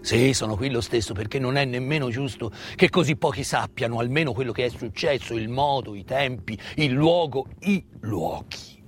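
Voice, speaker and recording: A man delivers a theatrical monologue as a storyteller, solemn and emphatic, with deliberate pauses and repetitions. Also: mid-range voice, slight background noise. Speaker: stage actor and storyteller.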